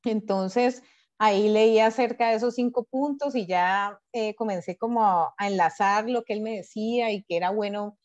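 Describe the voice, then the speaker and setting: A woman's voice: mid-range voice, normal speed, a little mellow. A woman from Cali with a Colombian accent, speaking casually as a guest in a testimonial interview. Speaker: interview guest, former exam candidate. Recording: dull, lo-fi audio.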